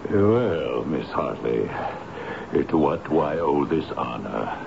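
An actor playing a rude, haughty, gruff apartment tenant in a 1970s radio drama. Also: male voice, very deep voice, sing-song, slow pace, exaggerated voice. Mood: curt, sardonic. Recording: muffled, noisy old radio broadcast.

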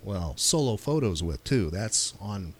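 A man's voice: on the low side, sing-song, unhurried. A man hosting a podcast, chatting casually and making show announcements. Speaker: podcast host.

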